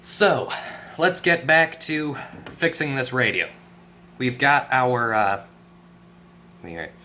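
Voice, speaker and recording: A man's voice: mid-range voice, slow, bright, forceful tone, slight background noise. A man hosting a hands-on electronics tutorial, explaining casually and off the cuff, thinking aloud and correcting himself as he goes. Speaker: host of an electronics repair tutorial.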